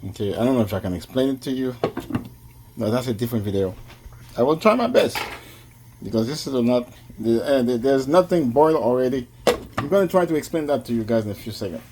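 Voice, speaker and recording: Man, home cooking vlogger, chatty and casual, mid-pitched, faint noise behind. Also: lively intonation, fairly quick. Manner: chatty, keeps addressing viewers as guys.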